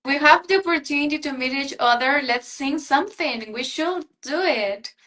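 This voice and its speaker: Warm, earnest Ecuadorian woman, slightly high voice. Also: moderate pace. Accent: Spanish-speaking Ecuadorian. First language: Spanish. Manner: earnest and effusive, searching for words in English. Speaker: pageant guest. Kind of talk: interview conversation.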